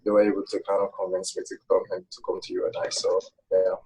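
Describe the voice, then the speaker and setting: Low, husky young male student. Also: completely flat delivery, fast talker, dark tone, low-quality recording. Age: young adult. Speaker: student panelist. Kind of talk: informal panel answers.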